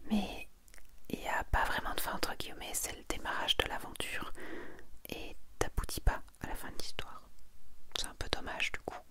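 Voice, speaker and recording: A woman whispering in an ASMR style, breathy and almost entirely unvoiced, reading and chatting in a gentle, confiding way. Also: moderate pace. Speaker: ASMR content creator. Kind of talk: soft whispered ASMR, presenting and reading manga summaries.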